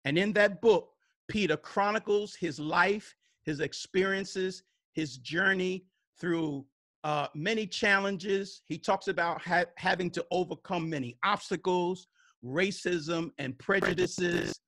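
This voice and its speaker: A man's voice: high-pitched, slow, a bit bright, dry recording. A male podcast host introducing a guest and asking questions in a welcoming way, with frequent fillers. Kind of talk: interview conversation.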